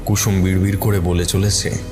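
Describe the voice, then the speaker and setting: A man's voice: deep voice, flat delivery, moderate pace, music in the background. A man reading a scripted horror story as its narrator.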